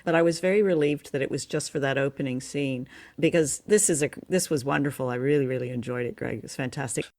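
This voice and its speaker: Woman cohosting a podcast, casual review chat, into it, lowish voice. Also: fast, darkish tone. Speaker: podcast co-host.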